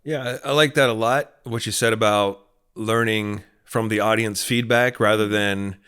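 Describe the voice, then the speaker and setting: A man with a clear, resonant voice co-hosts an interview in casual conversation. He speaks at a slightly low pitch. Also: steady tone, moderate pace, a bit bright. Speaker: podcast co-host.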